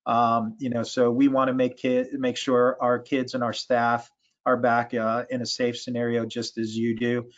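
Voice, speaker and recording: Man, flat even intonation, halting measured answers, official's meeting talk, dull lo-fi video call. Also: medium pitch. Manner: halting, measured, with repeated false starts. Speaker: education and school sports association official. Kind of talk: answering questions in an online meeting.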